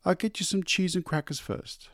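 A man voicing a devoted, anxious housewife who fusses softly and eagerly over her husband. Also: high-pitched, normal speed, dry recording, studio quality, voice pushed up. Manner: soft, eager, fussing.

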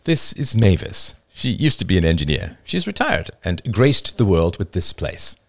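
A man's voice: low voice, sing-song, normal speed. A man voicing a genial, eager companion who talks with breezy enthusiasm.

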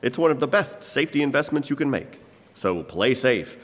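A man's voice: medium pitch, very animated, moderate pace, close to an everyday voice. A man playing an urgent, persuasive old-time radio announcer, delivering a sponsor message with emphatic salesmanship. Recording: echoey live hall.

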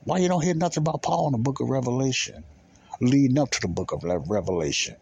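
A man's voice: medium pitch, animated, fast talker, vocal fry, darkish tone, slightly rough, dull audio. A man giving informal, emphatic Bible teaching as a podcast host, repeating key phrases and posing rhetorical questions.

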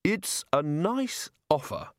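A man's voice: on the high side, big pitch swings, slow, dry recording, clean studio sound, voice pushed up. Man acting a mild, wry, hapless wizard. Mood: hesitant, wry.

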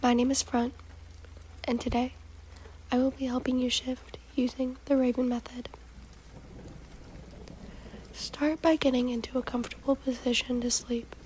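A woman guiding a meditation in a soft, breathy, partly whispery voice, giving calm, gentle instructions in short, measured phrases. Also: high voice, a little hiss or hum. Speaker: guided meditation host. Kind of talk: slow, soothing guided meditation narration.

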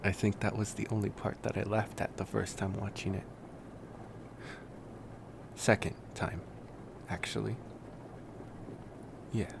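A man playing a laid-back, wry college-age vampire in an intimate ASMR roleplay, rambling casually and hesitantly with sighs and pauses. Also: fairly low pitch, flat delivery, gravelly, background noise. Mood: baffled, amused.

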